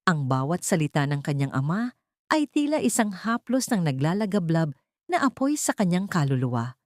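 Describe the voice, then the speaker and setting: A woman's voice: on the low side, very animated, normal speed, dry recording, studio quality. A woman narrating a scripted dramatic story in a solemn, emotive manner.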